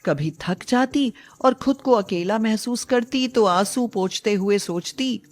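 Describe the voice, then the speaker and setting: Slow-paced woman storyteller. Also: a little high, darkish tone. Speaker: narrator of a moral story. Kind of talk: scripted dramatic storytelling narration.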